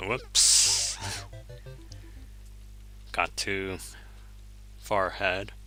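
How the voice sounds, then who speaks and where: A man's voice: low-pitched, wildly swooping pitch, drawn-out words, pushed and bright, faint music behind. A man whose first language is Japanese, chatting easily while streaming a game, chuckling often and correcting himself as he answers viewers. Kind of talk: casual gameplay commentary, reading chat.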